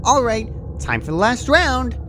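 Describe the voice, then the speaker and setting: A man's voice: very high-pitched, big pitch swings, a little slow, background noise, pitched above the performer's own voice, heavily acted. A man voicing a perky, sadistic female cartoon pony from a fan story, casual and chirpy while menacing. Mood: brisk, insistent.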